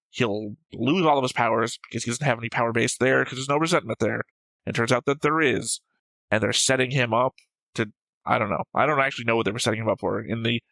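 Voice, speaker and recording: A man with a very bright, forceful voice at a middle pitch, recorded dry and close. He hosts a reaction show and argues through story theories casually, thinking out loud as he goes. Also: dry recording, poor audio. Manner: argumentative, reasoning aloud, swears casually. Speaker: host of an anime reaction show. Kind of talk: casual discussion of plot theories.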